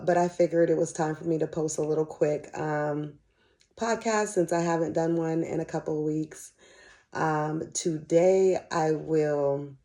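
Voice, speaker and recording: Mellow, slightly raspy American woman podcaster. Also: deep voice. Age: middle-aged. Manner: conversational, candid, slangy. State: slightly hoarse from cheering. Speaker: podcast host. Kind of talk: casual solo podcast chat.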